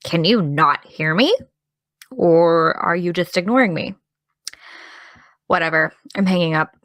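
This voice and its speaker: A woman's voice: fairly low pitch, measured pace, a bit bright, no room sound. Actress doing a needling young american woman, kinda petulant, audio drama, very dry sound. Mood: annoyed. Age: young adult.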